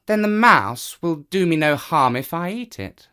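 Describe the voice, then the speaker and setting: A storyteller voicing a sly, proud, aloof cat in a children's tale, with a drawn-out, self-satisfied delivery. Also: mid-range voice, lively intonation, sharp tone, smooth, pitched above the performer's own voice.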